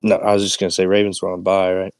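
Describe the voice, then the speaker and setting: Deep-voiced man, laid-back chat. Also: monotone, quick pace, dry recording. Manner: laid-back, brief remarks, laughs. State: voice worn from a cold. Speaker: podcast co-host. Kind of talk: casual chat.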